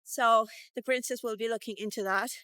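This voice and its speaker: A woman's voice: fairly high pitch, fairly even intonation, fairly quick, sharp tone, smooth, no echo. A woman in her fifties telling a personal tale in a casual, rambling, reflective vlog style. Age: 50s. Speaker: vlogger.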